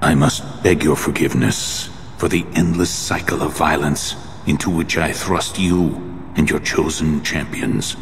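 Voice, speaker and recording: An actor playing a grave, wistful ancient creator sage in a video game cutscene, speaking in measured, formal phrases. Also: male voice, rumbling low, steady tone. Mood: regretful. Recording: long cavernous reverb, faint background noise.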